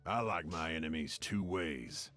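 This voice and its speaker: An actor playing a grizzled, cocky gunslinger outlaw in a video game, gruff and taunting with a drawl. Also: male voice, very deep voice, measured pace, a bit bright, no echo, soft background music, full character voice. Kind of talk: video game character voice lines.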